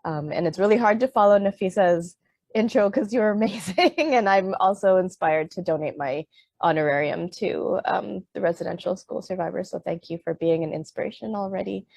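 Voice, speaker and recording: A woman's voice: mid-range voice, moderate pace, vocal fry, a little mellow, no room sound. A middle-aged woman from Canada, an author on a panel who talks with many fillers and restarts, then reads aloud from her book. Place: Vancouver.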